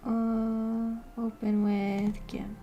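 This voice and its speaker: A woman's voice: a little high, level tone, extremely slow, warm tone, dull audio, a little hiss or hum. A woman hosting a casual livestream, rambling and thinking aloud in a laid-back way as she chats with viewers. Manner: reads viewer comments aloud and replies. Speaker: livestream host.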